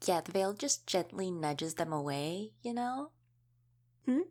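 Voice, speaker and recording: Woman playing a chatty low-voiced young wolf shifter woman. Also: lively intonation, measured pace, a little mellow. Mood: relaxed explaining. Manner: chatty, informal, explanatory, with trailing questions. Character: easygoing young female wolf shifter, history student. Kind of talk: casual conversational audio roleplay.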